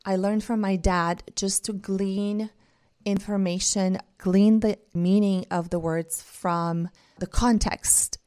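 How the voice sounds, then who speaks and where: A woman's voice: medium pitch, steady tone, slow pace, mellow, clean tone. Earnest woman. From Bulgaria. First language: Bulgarian. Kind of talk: reflective monologue.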